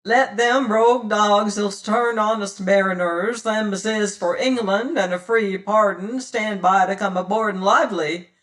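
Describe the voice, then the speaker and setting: A reader voicing a booming male ship's officer who roars his threats across the water. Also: steady tone, unhurried, few pauses, a bit bright, lightly acted, poor audio. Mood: roaring.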